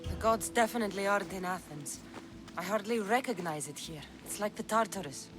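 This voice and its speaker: A woman's voice: medium pitch, brisk, over music, close to an everyday voice. A woman playing a tough, blunt young Greek mercenary in a video game, with a frank, matter-of-fact delivery. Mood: concerned. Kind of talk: video game cutscene acting.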